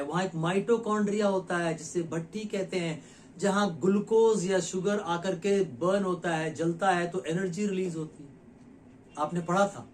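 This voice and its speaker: High-voiced man explaining to viewers. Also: a little mellow, a little hiss or hum. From India. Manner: addresses viewers directly, with many rhetorical questions; earnest and persuasive, with short pauses between phrases. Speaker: nutrition educator and channel host. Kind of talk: explanatory talk to camera.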